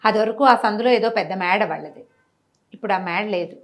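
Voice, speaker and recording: A woman telling a moral story conversationally, with animated delivery, rhetorical questions and everyday examples. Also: medium pitch, brisk, creaky. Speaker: storyteller, interview guest.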